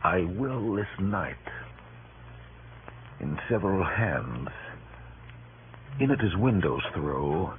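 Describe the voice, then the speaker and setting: A man's voice: sits low, big pitch swings, takes it slowly, pauses often, full character voice. An actor playing a scheming, resentful Roman nobleman, brooding and declamatory in radio Shakespeare. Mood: scheming, resolute. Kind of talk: 1930s radio Shakespeare acting. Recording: tinny, hissy old radio recording.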